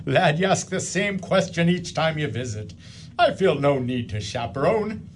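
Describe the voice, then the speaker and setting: A prosperous old farmer speaks in a hearty, folksy manner, his slightly high voice rising and falling with easy good humor, as a man acts him out. He sounds content and generous as the master of his house. A faint hiss sits under the recording. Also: lively intonation, moderate pace, close to an everyday voice. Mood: genial. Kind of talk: community radio play acting.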